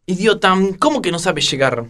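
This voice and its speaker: A man's voice: high voice, big pitch swings, normal speed, bright, forceful tone, full character voice. A man voicing a commanding police chief in a parody of dubbed action-film dialogue for radio comedy. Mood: irritated.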